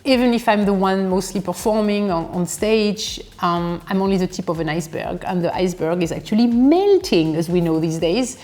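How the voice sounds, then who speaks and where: A woman's voice: on the low side, lively intonation, normal speed, few pauses, darkish tone. A woman with a French accent, an agency founder and stage host, speaking in an interview in a self-effacing, earnest way.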